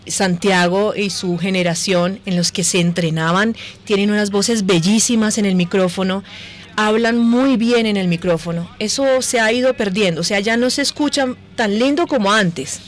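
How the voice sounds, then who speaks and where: A woman's voice: mid-range voice, normal speed. A woman speaking as a guest voice coach in a conversational interview, plain-spoken and instructive. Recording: music behind the talk. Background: music.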